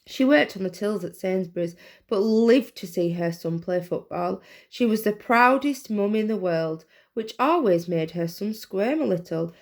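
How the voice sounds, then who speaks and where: A woman's voice: medium pitch, animated, a little slow. A woman reading a children's storybook aloud as narrator. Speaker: reader, teacher.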